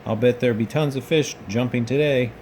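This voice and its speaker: A lively, cheerful cowgirl from a cartoon western storybook, as a man reads her aloud. She talks in eager exclamations and questions. Faint noise sits in the background. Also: medium pitch, a little fast, lightly acted. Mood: excited.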